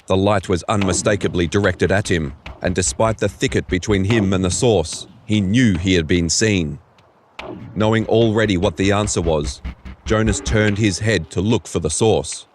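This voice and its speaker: A man's voice: low-pitched, moderate pace, slight background noise. A man reading scripted third-person story narration for an audio drama.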